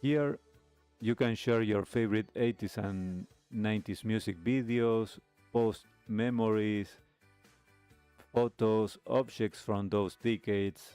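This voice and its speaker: A man hosting a live stream radio show, speaking reflectively in a measured, halting way with pauses. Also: fairly low pitch, darkish tone. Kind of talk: reflective host talk, signing off a live stream. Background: music playing under the voice.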